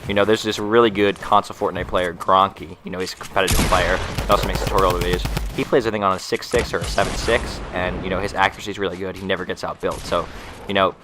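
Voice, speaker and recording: Young man, stuffy from a cold, low pitched, rapid, casual game tutorial voice-over, filler-heavy. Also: steady tone, background noise. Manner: loose and chatty, many fillers and restarts. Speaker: gaming YouTuber.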